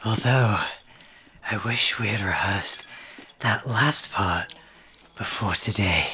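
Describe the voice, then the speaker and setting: An actor in a theatrical audiobook playing a gruff young male thief with a dry, grumbling, theatrical manner. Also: sits low, takes it slowly, pushed and bright, slight background noise, full character voice. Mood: dry, sardonic. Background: background music.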